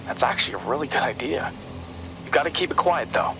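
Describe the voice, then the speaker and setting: A man's voice: medium pitch, animated, brisk, noisy background, natural voice. A man playing a cautious, exasperated young sidekick in a video game, sceptical and sarcastic. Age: young adult. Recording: loud music behind the voice.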